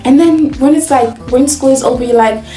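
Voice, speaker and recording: A woman's voice: high-pitched, brisk, echoey room, faint music behind. A young woman from Nigeria with a Nigerian accent, telling a casual, chatty story as a vlogger, laughing often and filling with "like". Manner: chatty, animated, laughs often, heavy use of "like".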